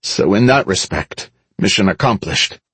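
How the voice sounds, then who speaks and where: A man's voice: low-pitched, animated, measured pace, fairly bright tone, breathy edge, no echo, heavily acted. Male narrator as female combat pilot: wry, cocky, teasing, audiobook delivery. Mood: matter-of-fact. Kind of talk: dramatised audiobook dialogue.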